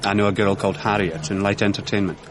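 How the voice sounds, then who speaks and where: An actor playing a mild, self-deprecating young academic with a British accent in a radio drama, diffident and drily understated. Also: male voice, lowish voice, fairly even intonation, quick pace, noisy background, natural voice. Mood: tentative.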